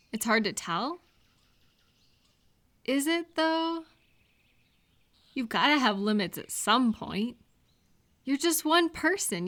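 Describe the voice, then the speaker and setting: A woman acting a caring, cheerful friend in a scripted roleplay audio, talking gently and attentively to the listener. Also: fairly high pitch. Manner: gentle, unhurried, attentive questioning with pauses for replies.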